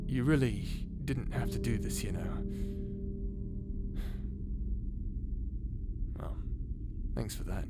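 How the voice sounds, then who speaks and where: A man's voice: medium pitch, moderate pace, lightly acted. A man playing an insecure, guilt-ridden pirate captain in an audio roleplay, earnest and lonely, with a hesitant, stammering delivery. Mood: soft, grateful. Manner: hesitant, stammering, self-doubting. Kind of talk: scripted ASMR audio roleplay. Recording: music laid under the voice. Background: music.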